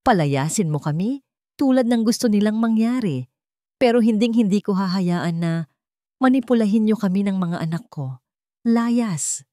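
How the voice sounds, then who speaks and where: A woman's voice: mid-range voice, lively intonation, moderate pace, warm tone, no room sound, clean studio sound, voice pushed up, close to an everyday voice. A woman voicing a bitter, defiant, hurt mother in a radio-style drama, with emphatic, accusing delivery. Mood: furious, tearful.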